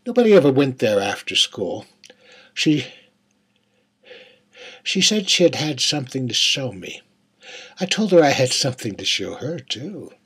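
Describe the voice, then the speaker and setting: Man to play male serial killer, dramatic monologue. Mid-pitched, rough-breathy voice, wistful menace, halting and confiding. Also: very animated, a bit bright, full character voice. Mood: wistful, unsettling. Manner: intimate, halting, dropping to near-whispers. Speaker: writer reading own monologue.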